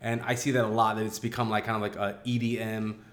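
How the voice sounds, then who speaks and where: Man, art educator, low voice, rapid chatty delivery, lots of filler words, echoey room. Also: level tone. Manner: fast, chatty, many "like" fillers. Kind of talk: casual conversation.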